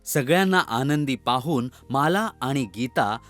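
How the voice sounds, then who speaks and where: A man's voice: medium pitch, animated, a little slow, fairly bright tone, soft background music. A man narrating a scripted children's story as the narrator.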